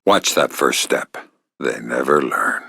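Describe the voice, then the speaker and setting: Very deep gravelly covert agent. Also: male voice, takes it slowly, dry recording, studio quality, full character voice. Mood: low, deadpan. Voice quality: gravelly, low, often breathy growl. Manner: deadpan, sardonic, terse. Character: gruff, wry, menacing middle-aged male covert agent. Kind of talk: video game voice lines.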